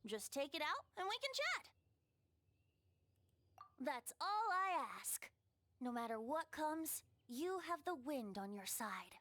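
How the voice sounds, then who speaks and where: Lively, high, theatrical game adventurer. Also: female voice, slow pace, pauses often, full character voice. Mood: playful. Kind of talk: video game character dialogue.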